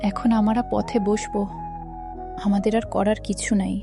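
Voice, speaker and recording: A woman playing a caring, devout farmer's wife in a cartoon fairy tale, soft and earnest. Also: mid-range voice, level tone, a little slow, vocal fry, music in the background. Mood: worried, despairing.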